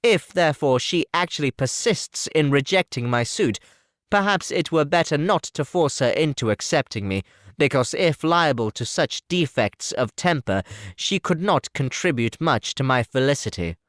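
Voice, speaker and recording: A man voicing a pompous, awkward young clergyman who speaks slowly and ponderously. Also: a little high, expressive, a bit bright, no echo, clean studio sound, pitched above the performer's own voice. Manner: slow and ponderously formal.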